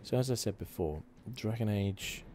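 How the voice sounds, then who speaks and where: A man hosting an ASMR gaming video, giving hushed, relaxed, conversational commentary. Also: fairly low pitch, moderate pace. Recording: game sounds noisy behind voice. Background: video game audio.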